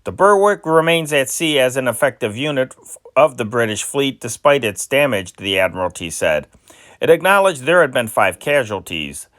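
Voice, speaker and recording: A man reading old wartime newspaper reports as scripted news, in a formal, measured and deliberate manner. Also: medium pitch, big pitch swings, a bit bright. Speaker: amateur news reader, channel host.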